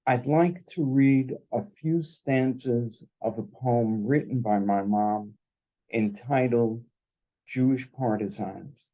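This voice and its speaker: Man, mellow tone, unhurried and solemn, reading family poetry aloud. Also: medium pitch, poor audio. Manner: solemn, deliberate. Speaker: son of the poet, introducing and reading. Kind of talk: slow, measured poetry reading.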